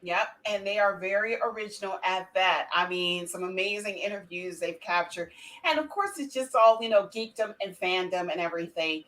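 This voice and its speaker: A woman hosts an informal interview. Her voice sits at a medium pitch. She is chatty and affirming, feeding questions to her guests in a conversational style. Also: moderate pace, a bit bright, poor audio. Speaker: host. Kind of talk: casual interview chat.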